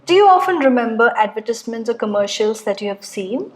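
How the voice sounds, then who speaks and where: A woman conducts a formal speaking test, reading her questions in a measured, scripted way. Her voice sits a little high. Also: animated. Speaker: examiner.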